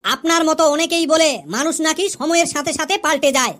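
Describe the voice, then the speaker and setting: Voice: sits high, a little fast, fairly bright tone. A woman voicing a cheeky, argumentative schoolboy pupil in a comedy cartoon, long-winded with smart-aleck answers.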